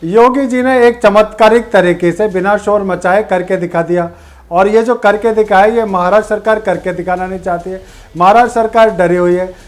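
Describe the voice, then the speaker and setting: A man's voice: high voice, fairly even intonation, moderate pace. Male political spokesperson for a TV debate, firm, emphatic, explains his case point by point. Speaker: party spokesperson.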